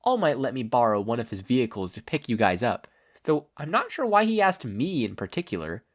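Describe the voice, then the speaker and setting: A man's voice: medium pitch, sing-song, fairly quick. A man voicing a kindly, modest mother who is polite and easily flustered, in a story reading.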